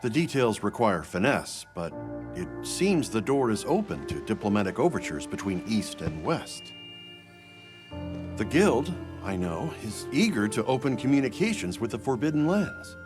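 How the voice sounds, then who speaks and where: An actor playing an earnest, deferential aide in a video game cutscene, speaking formally and respectfully to a superior. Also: male voice, fairly low pitch, big pitch swings, moderate pace, music in the background. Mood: careful, concerned.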